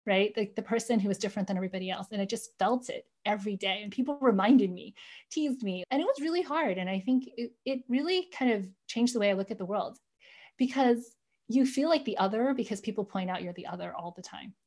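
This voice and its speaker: A woman's voice: medium pitch, fairly quick, a little mellow. A woman in her forties, reflecting earnestly on growing up, often saying "you know" as a guest in an interview. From United States. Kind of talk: reflective personal storytelling.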